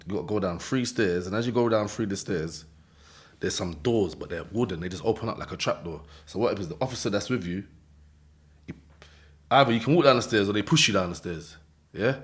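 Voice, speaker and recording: Laughing London man telling a rough story. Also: on the low side. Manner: animated street slang storytelling, acts out voices, laughs often. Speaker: interview guest. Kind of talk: casual storytelling interview.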